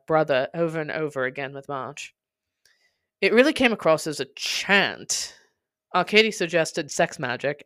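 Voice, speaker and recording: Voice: medium pitch, fairly bright tone, clear, resonant tone, no room sound, clean studio sound. A podcast host reading a scripted personal narrative aloud with dramatic, emphatic delivery.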